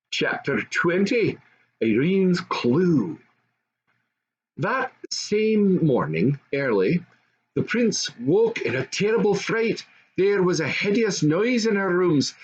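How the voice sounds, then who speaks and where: An older man reads a classic fairy tale aloud in an expressive, measured, grandfatherly storytelling style. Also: high voice, breathy edge, lo-fi sound. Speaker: storybook reader.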